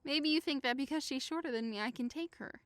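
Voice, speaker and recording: A woman's voice: high-pitched, fast talker, fairly bright tone, no echo, clean studio sound. A woman playing a timid, lovesick, easily wounded young woman, plaintive and melodramatic, in a modernized Shakespeare audio drama. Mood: pleading.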